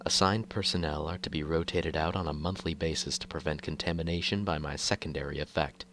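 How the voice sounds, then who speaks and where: A man narrating scripted horror fiction in the first person, reading in a formal, measured way like an official document. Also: rumbling low, fairly even intonation, a bit bright, slight background noise.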